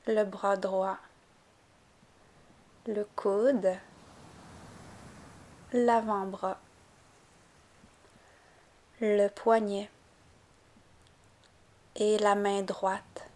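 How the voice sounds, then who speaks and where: A woman guiding a yoga nidra relaxation, giving calm, gentle, unhurried instructions. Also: mid-range voice. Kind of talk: guided relaxation meditation narration.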